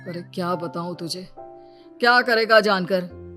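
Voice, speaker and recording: A woman's voice: on the low side, unhurried, over music, voice pushed down, close to an everyday voice. A woman voicing a weary, exasperated young husband in a comedy cartoon, pleading and complaining. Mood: troubled. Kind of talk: Hindi comedy cartoon character acting.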